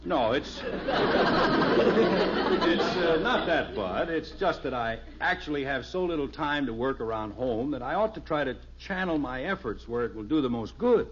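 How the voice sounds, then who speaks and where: A man's voice: mid-range voice, expressive, moderate pace, some chatter behind, slight background noise, lightly acted. An actor playing a genial, evasive middle-aged suburban father in a 1950s radio sitcom, folksy and hesitant with his excuses. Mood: sheepish. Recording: muffled vintage radio recording.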